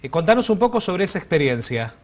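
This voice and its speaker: A man's voice: high-pitched, sing-song, quick pace. A man with an Argentine accent co-hosting an interview in a casual conversational way. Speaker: interview co-host.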